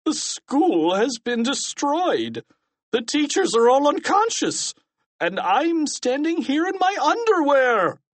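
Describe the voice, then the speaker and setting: A man's voice: fairly high pitch, wildly swooping pitch, slow, dry recording, pitched above the performer's own voice, heavily acted. A man voicing a whiny, flustered middle-aged male school principal in a storybook. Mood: bewildered outrage.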